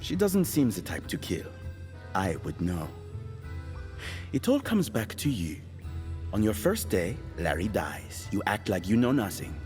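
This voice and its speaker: Dry, sarcastic hitman, lively intonation. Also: lowish voice, a little slow, long pauses, long reverb tail, faint music behind. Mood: accusing. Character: irritable, world-weary hired killer interrogating a woman. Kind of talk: audio drama acting.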